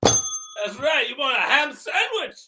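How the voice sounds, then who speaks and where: A man's voice: very high voice, sing-song, slow, pushed and bright, far from the mic, full character voice, poor audio. A man voicing an eager, worldly shopkeeper from Cuba in improvised comedy, instructive and salesmanlike.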